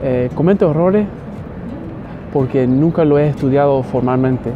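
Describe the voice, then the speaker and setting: Young man, from Miami, casual vlog, hesitant restarts, middle pitch, muffled audio. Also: measured pace. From Miami, United States. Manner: hesitant, many restarts and fillers. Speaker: vlogger. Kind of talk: casual talk to camera. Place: outdoors in a city. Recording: dull lo-fi audio with loud background music.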